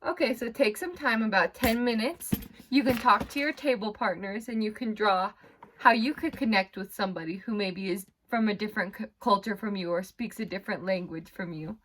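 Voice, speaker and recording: A woman's voice: medium pitch, a little fast, a bit bright, slight background noise, rough recording. A woman teacher leading a read-aloud lesson for young pupils, explaining in simple phrases and guiding them with questions. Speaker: elementary school teacher.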